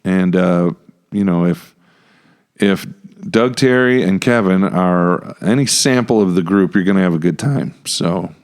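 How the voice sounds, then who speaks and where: An American man with a very low voice hosts a casual chat. He speaks in an everyday, conversational way, as a podcast host would. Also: measured pace, darkish tone, smooth.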